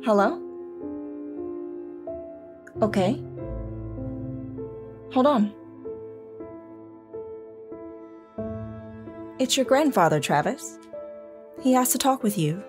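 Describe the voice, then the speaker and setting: A woman's voice: on the high side, very animated, slow, long pauses, music in the background. A woman playing a caring, affectionate young wife and mother in an audio drama. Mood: concerned.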